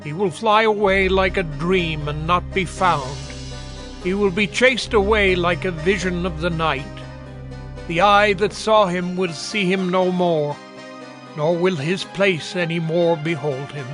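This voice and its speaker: A man's voice: sits high, unhurried. An actor playing an indignant, moralizing man who condemns the wicked in a declamatory, admonishing manner, in a dramatized scripture reading. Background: music.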